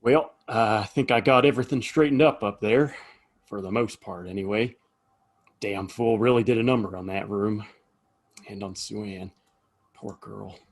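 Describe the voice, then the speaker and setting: A man's voice: fairly low pitch, normal speed, faint music behind. Man playing weary Old West saloon keeper. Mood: grateful, weary. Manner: folksy, exasperated, straight-talking. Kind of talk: audio play acting, western.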